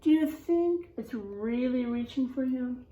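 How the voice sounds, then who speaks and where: Woman teacher reading aloud, slow and animated, mellow, echoey room. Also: high voice. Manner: slow, asks children questions. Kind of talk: children's storybook read-aloud.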